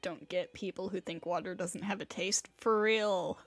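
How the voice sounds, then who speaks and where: A woman's voice: fairly low pitch, steady tone, moderate pace, dry recording. A woman livestreaming a game, reacting casually in an informal, playful, wry way. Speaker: video game streamer.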